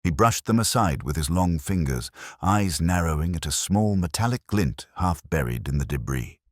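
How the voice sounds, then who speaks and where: A man reading a scripted detective story as dramatic narration, in a measured, solemn storytelling manner. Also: low voice, lively intonation, no room sound, clean studio sound. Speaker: audiobook narrator.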